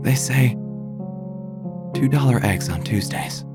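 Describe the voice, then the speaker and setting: A man playing a quirky investigator who narrates his own tale in a theatrical, deadpan, wry way. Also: mid-range voice, slow pace, natural voice. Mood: wry, probing. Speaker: narrator and lead actor of an audio drama. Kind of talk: scripted first-person noir-like narration. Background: music.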